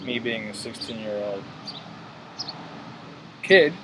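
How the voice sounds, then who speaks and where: Hesitant young man, low voice. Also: animated, noisy background. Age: 20s. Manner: hesitant, measured, with fillers. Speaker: interview guest. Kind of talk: casual interview answers.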